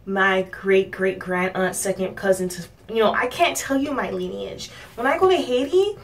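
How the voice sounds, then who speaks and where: A woman's voice: mid-range voice, moderate pace. Young woman from miami vlogging, chatty and rambly, lots of ums, mic a bit far off. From Miami, United States. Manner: chatty, rambling, with frequent fillers and soft laughs. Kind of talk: casual talk to camera.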